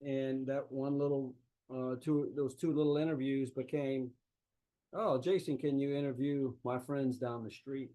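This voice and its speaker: A man's voice: mid-range voice, steady tone, unhurried, mellow, rough recording. A man with a South Louisiana accent giving a folksy, easygoing talk as an author and historian over a laptop mic on a video call. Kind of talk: conversational remote lecture. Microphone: laptop microphone on a video call.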